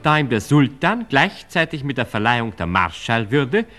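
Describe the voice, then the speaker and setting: An actor playing an old-time newspaper announcer in a radio drama, reading reports in a formal, measured, declamatory way. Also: male voice, medium pitch, sing-song, fairly bright tone. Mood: formal, upbeat.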